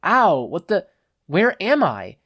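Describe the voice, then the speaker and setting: An excitable teenage hero student acted out by an audiobook reader. The voice is pitched up and swings widely, with an exasperated edge. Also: slow, husky, dry recording, clean studio sound, exaggerated voice. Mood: casual, confused.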